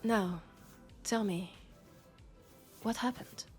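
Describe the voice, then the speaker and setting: Woman acting as french accented cop roommate, sci-fi audio roleplay, slow deliberate talk, bossy but caring, bit of music behind. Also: medium pitch. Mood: probing, confident. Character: sharp, scolding yet caring young policewoman roommate in sci-fi. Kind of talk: intimate scripted roleplay audio drama.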